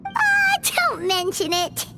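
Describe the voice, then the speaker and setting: Squeaky, extremely high little fairy companion. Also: female voice, big pitch swings, takes it slowly, very noisy, heavily acted. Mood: bashful. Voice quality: squeaky, childlike. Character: tiny excitable floating fairy girl companion, easily alarmed. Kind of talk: video game voice acting. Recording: loud game music behind.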